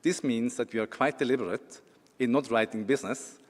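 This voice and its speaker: A man's voice: medium pitch, brisk. A man with a Swiss accent giving a corporate presentation as an insurance executive, with some dry humor and a few fillers. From Switzerland. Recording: long hall reverb.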